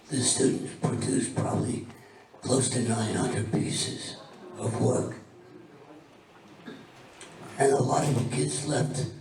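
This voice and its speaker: An older man, a retired teacher, gives an informal thank-you speech, halting with fillers and restarts. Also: medium pitch, gravelly, darkish tone, a little hiss or hum. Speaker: retired art teacher presenting student work. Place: large hall at a memorial ceremony. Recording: very echoey hall, mic far off, faint ambience.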